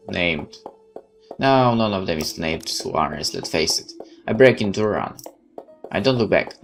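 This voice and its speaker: A man playing a game, reading the story aloud expressively and adding casual asides. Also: deep voice. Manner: expressive, theatrical. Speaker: let's player.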